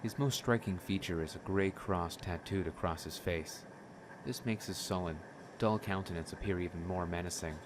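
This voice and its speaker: Very deep-voiced man narrating. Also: noisy background. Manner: measured, descriptive. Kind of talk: scripted drama narration.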